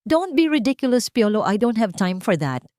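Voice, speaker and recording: Cold rich businessman, acted by a woman, curt, dismissive, animated intonation, dry close sound, audio drama. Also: on the high side, moderate pace, no echo, voice pushed up. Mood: cold, irritated. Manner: curt, dismissive, mixing English with Tagalog. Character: cold, curt, wounded billionaire businessman, adult man.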